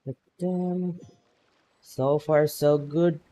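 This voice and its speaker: A man's voice: on the high side, fairly even intonation, drawn-out words, mellow, slight background noise. A man streaming a video game, making laid-back, joking asides. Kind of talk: casual game stream commentary.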